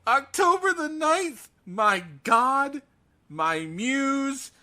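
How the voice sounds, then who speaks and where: A man's voice: very high voice, sing-song, very slow, bright, forceful tone. A man co-hosting a podcast, chatting casually through horror news in a theatrical, jokey and emphatic way. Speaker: podcast co-host.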